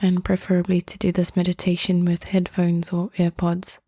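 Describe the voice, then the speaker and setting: A woman hosting a guided meditation in a soothing, gentle, unhurried manner, leaving long pauses between phrases. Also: lowish voice, completely flat delivery, no echo, studio quality.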